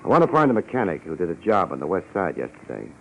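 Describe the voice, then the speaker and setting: An actor playing a cool, wisecracking hardboiled private detective, wry and laconic, in a 1950s radio drama. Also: male voice, deep voice, big pitch swings, fast talker, a little hiss or hum. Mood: cool needling. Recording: muffled old radio recording.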